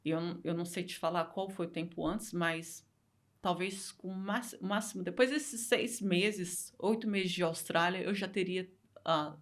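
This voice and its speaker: A Brazilian woman speaking Portuguese with a Brazilian accent, a guest telling her story in a casual interview, earnest and repeating phrases for emphasis. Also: lowish voice.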